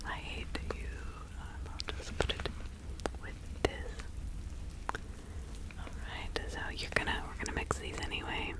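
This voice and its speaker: Kindly, fussy 1600s witch healer played by a woman, ASMR roleplay, whispered, unhurried, soothing and rambling. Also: natural voice. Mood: hushed, instructive. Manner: soothing, rambling, muttering asides.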